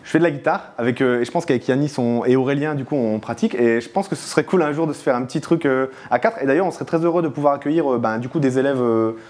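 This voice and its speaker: A man's voice: medium pitch, very fast, few pauses, darkish tone. A man hosting a casual studio interview, speaking informally and encouragingly to his guest. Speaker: interviewer, host. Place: indoor studio.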